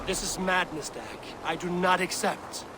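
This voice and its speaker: A man's voice: high-pitched, fairly even intonation, measured pace, noisy background. A man playing a resolute Viking clan warrior reluctant to fight, grave and commanding, in a video game. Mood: stern restraint. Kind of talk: dramatic video game acting.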